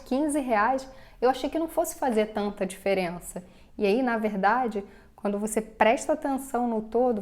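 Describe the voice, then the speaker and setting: A woman's voice: on the high side, a little mellow. A woman with a Brazilian Portuguese accent giving a direct-to-camera personal finance lesson, talking straight to the viewer and acting out the viewer's doubts. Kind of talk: direct-to-camera scripted explainer.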